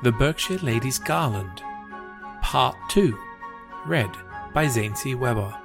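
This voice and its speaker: A man's voice: medium pitch, lively intonation, slow pace, gravelly. A man reading scripted podcast announcements. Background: music.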